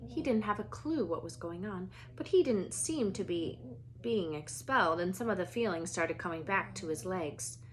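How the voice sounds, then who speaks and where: A woman storyteller reading a children's novel aloud in an expressive narrating style. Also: fairly low pitch, moderate pace, a little hiss or hum, rough recording.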